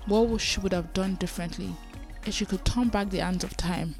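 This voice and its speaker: Lady hosting a chill podcast, chatty and loose, soft music under her intro. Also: mid-range voice, normal speed. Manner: chatty, laughing, loose and informal. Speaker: podcast host. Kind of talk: casual podcast chat.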